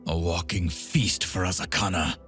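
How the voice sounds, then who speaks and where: A man voicing a grim, solemn undead swordsman from a video game, speaking in a measured, dramatic way over music. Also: low voice, fairly even intonation, a bit bright. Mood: weary gravity. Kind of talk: video game character voice acting.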